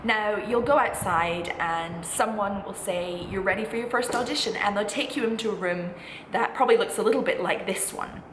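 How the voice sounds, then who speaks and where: A woman's voice: medium pitch, normal speed. A woman in her twenties giving chatty, informal advice in a reassuring, encouraging way, like a student vlogger talking to camera. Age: 20s. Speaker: vlogger, music college postgraduate singing student. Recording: echoey room with clear background noise.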